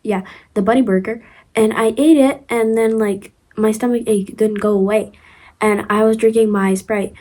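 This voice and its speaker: A woman's voice: fairly high pitch, steady tone, moderate pace, warm tone. Young woman, Ukrainian accent, casual rambling vlogger, lots of fillers. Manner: chatty, rambling, many fillers and restarts, giggly asides. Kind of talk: casual storytime vlog.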